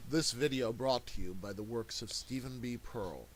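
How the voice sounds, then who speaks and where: A man's voice: mid-range voice, moderate pace, a crowd in the background, poor audio. A man giving a scripted promotional announcement as a sponsor announcer. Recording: faint hiss.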